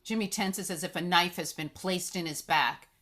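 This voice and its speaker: A woman's voice: lowish voice, moderate pace, pushed and bright. A woman reading stage directions aloud as scripted narration at a screenplay table read.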